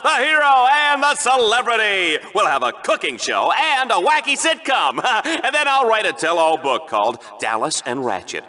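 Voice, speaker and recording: A flamboyant video game bloodsport host with a piercing, very bright voice. He pitches high and swings wildly up and down. Every line comes out as bombastic, showy sports hype with a smarmy streak. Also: heavily acted. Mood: self-important. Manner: bombastic, showy announcer delivery. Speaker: game show commentator. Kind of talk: over-the-top sports commentary.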